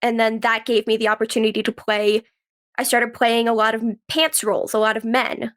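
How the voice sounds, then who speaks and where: Casting: woman, twenties, higher-pitched voice, chatty storyteller for a casual interview. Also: flat delivery, a little fast. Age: 20s. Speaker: podcast guest, actress and writer.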